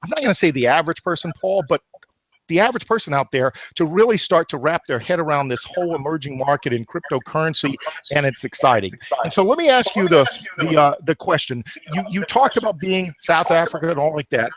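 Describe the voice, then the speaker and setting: A man's voice: a little high, animated, fairly quick, muffled recording. A man hosting a weekly webinar call, asking questions in a jokey, hyped-up way and calling his guest "my man.". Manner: jokey, hype-driven, calls the guest "my man". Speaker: webinar host, interviewer. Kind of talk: webinar interview.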